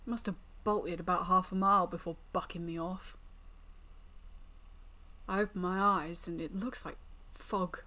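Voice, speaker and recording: A woman's voice: fairly low pitch, fairly bright tone. A woman voicing a naive young country lad in a visual novel, plain-spoken, folksy and drowsy. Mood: groggy. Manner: folksy, unhurried, puzzled. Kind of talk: visual novel character narration.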